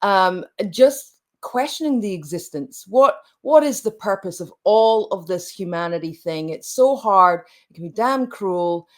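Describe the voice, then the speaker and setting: Guest coach, woman late forties, thoughtful and halting, mid-pitched voice. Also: expressive. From Scotland. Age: late 40s. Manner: reflective, halting, many restarts. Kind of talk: conversational interview.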